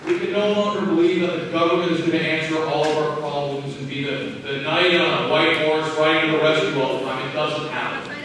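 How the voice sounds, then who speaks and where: American male candidate, earnest and plain-spoken, slightly high-pitched, stump speech heard from off in a reverberant hall. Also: steady tone, brisk, crowd noise. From Maine, United States. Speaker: political candidate. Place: indoor hall at a county caucus. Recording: echoey hall, distant mic, faint noise.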